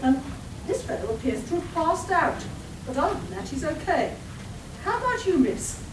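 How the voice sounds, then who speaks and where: A woman playing a brisk, matter-of-fact young adventurer and inventor in a live comedic radio play. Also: high voice, big pitch swings. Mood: reasoning. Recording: echoey hall, far mic, noisy.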